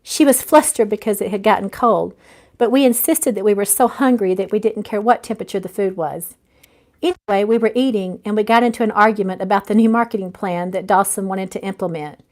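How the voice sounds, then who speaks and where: A woman's voice: medium pitch, fairly quick, a little mellow, natural voice. A woman voicing a guarded, heartbroken businesswoman in a romance novel, confiding and rueful. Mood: sheepish, confiding. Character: weary, heartbroken businesswoman, guarded about lost love.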